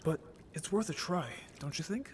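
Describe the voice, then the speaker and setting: A man's voice: a little high, normal speed, slight background noise, natural voice. A man voicing a naive, curious young merfolk in an audio drama, talking casually and expressively with earnest cheek. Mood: hopeful, earnest. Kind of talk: scripted audio drama roleplay.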